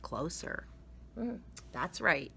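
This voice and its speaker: A woman reading a picture book aloud to children in a theatrical way, with dramatic emphasis and drawn-out words. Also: fairly low pitch, very animated, vocal fry, pushed and bright, slight background noise. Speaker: children's story reader.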